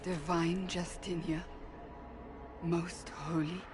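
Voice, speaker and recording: Stern devout warrior, slow, grave, guarded, formal, game cutscene, noisy ambience. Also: female voice, fairly low pitch, little pitch movement. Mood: awed, wary. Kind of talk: video game cutscene acting.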